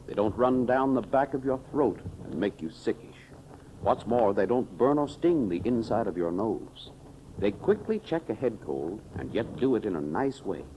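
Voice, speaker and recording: A man announcing and pitching in emphatic, declamatory scripted style, on a hissy 1930s radio recording. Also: a little mellow, rough recording.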